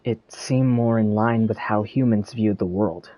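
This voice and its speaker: A man's voice: fairly low pitch, unhurried, dry recording, lo-fi sound. A man reading video game dialogue choices aloud.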